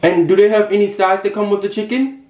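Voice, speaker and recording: A young man, vlogging in animated casual chat with a theatrical, excitable manner. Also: high voice, quick pace. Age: young adult. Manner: theatrical, excitable, bursts into shouting. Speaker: vlogger making prank calls. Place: small bare bedroom. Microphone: camera a little way off. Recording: roomy echo, music and chatter behind.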